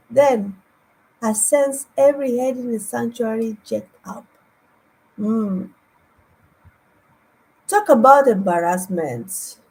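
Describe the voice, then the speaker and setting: A woman evangelist whose first language is Greek, preaching online in a halting way, repeating words and humming between thoughts. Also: on the high side, a little mellow, low-quality recording. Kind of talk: online sermon with anecdotes.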